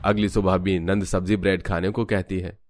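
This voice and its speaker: A man's voice: deep voice, level tone, normal speed. A man narrating a scripted cartoon family story.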